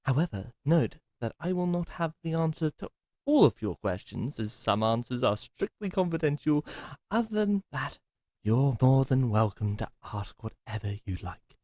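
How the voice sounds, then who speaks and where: A man's voice: mid-range voice, sing-song, moderate pace, darkish tone, no room sound, studio quality, close to an everyday voice. Man playing a bouncy, theatrical butler. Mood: chipper. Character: chipper male butler with a hidden menace. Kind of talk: ASMR roleplay audio drama.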